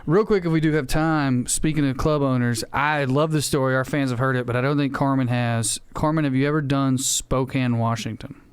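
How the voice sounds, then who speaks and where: A man with a smooth, clear voice at an average pitch. He chats casually as a comedy podcast co-host, speaking bluntly and swearing freely. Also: steady tone, brisk, hardly any pauses.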